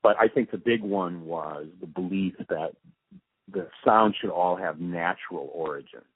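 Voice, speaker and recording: A man reminiscing in an interview, telling his stories in an emphatic, animated way. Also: low voice, a little slow, breathy edge. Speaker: interviewee, radio drama post-production supervisor. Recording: muffled phone line, dry.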